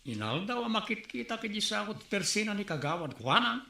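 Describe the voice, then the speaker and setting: A man's voice: high-pitched, big pitch swings, fast, gravelly, pushed and bright, reverberant, heavily acted. An actor playing a gossipy, tattling village man with an Ilocano accent in a radio comedy drama.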